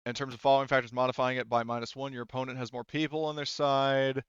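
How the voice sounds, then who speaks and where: A man with a mid-pitched voice acts as game master for a tabletop roleplaying session, talking through rules and rulings. He reasons aloud and deliberately, and the close, dry audio is slightly dull. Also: flat delivery, smooth, no echo.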